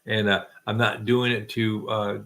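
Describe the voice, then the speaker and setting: A man's voice: fairly low pitch, flat delivery, unhurried, a bit bright. Middle-aged guy hosting a late chat, rambly, keeps restarting sentences, jokes about himself. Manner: rambling, many restarts, self-deprecating jokes. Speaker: host. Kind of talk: casual chat.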